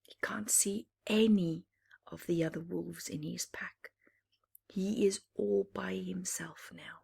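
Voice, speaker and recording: A woman reads a children's picture book as a storyteller. Her pace is extremely slow and her voice has a husky, breathy edge. She is dramatic and expressive, dropping into hushed explanatory asides. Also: fairly low pitch.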